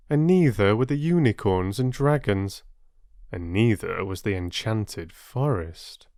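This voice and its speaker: A man narrating a children's story expressively as a storyteller, in a measured way with dramatic pauses. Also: on the low side, a little mellow, clear, resonant tone, no echo, clean studio sound.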